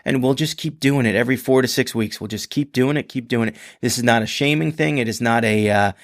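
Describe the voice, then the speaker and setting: A man hosting a podcast in a rambling, chatty solo talk, his voice a little hoarse and congested. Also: mid-range voice, a little fast, no echo, clean studio sound. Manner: rambling, chatty, many restarts and fillers. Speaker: podcast host. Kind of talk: casual solo podcast monologue.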